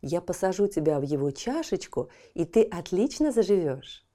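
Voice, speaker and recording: A woman voicing a kind female swallow in a children's audiobook, speaking in a lively, coaxing, sing-song way. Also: fairly low pitch. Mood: gentle. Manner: lively, coaxing, slow sing-song when describing warm lands. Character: kind chirping female swallow, gentle and eager. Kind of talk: storybook audiobook acting.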